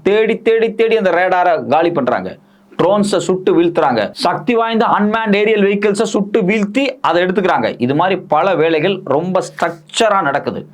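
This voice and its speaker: A man's voice: high-pitched, expressive, brisk. A man with an Indian Tamil accent giving news analysis, explaining emphatically with repeated phrases and rhetorical questions. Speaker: political commentator.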